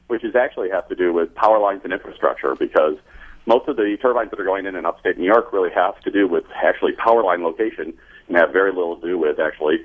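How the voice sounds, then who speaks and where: Fast deep husky American man on phone. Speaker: call-in listener, community college teacher. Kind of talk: casual explaining on a call-in show. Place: phone call to a radio show. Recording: muffled, narrow phone line.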